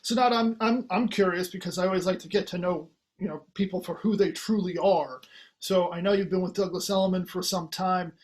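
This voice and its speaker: A man's voice: high-pitched, steady tone, fairly quick. A man hosting an interview, asking questions in a polite, encouraging way. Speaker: host. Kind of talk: interview conversation.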